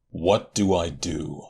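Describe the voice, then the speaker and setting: Man acting a gruff, low-voiced stubborn marshal over music. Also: heavily acted. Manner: blunt, irritable. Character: gruff, stubborn military marshal, guilt-ridden, defensive. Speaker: voice actor. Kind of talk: audio drama dialogue and narration. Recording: music under the voice.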